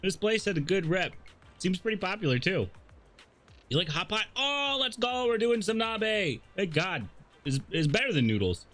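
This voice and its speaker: A man with a fairly high voice is streaming a video game. He talks casually, slipping between reading dialogue and slangy, irreverent reactions. Music plays softly behind him. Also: sing-song, normal speed.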